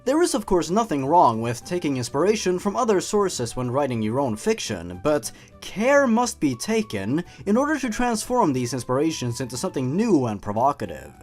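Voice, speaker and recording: A man's voice: on the high side, huge pitch swings, moderate pace, few pauses, darkish tone, faint music behind. A man hosting a scripted review show, commenting in a theatrical, sardonic way. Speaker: host of a story-reading review channel. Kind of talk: scripted commentary with dramatic reading.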